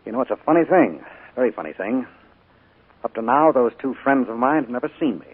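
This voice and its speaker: An actor playing a calm, sinister man on the run, speaking in a quiet, smooth and husky way with a menacing edge, in 1940s radio acting. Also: mid-range voice, a little fast. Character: calm, sinister middle-aged man hiding from pursuers. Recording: tinny 1940s radio broadcast.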